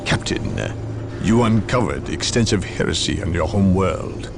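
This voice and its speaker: An imperious video game inquisitor, with a low male voice that has a gravelly edge. His formal speech is haughty and cutting, swinging widely in pitch, with music playing behind. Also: expressive, measured pace, full character voice. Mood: accusing. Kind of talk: dramatic video game voice acting.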